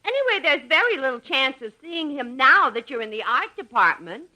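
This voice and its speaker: An actress playing a soothing, practical wife in 1950s radio comedy, deliberate and reassuring. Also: female voice, high voice, big pitch swings, sharp tone, exaggerated voice, poor audio. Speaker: comic actress. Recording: 1950s radio broadcast, audience behind. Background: studio audience.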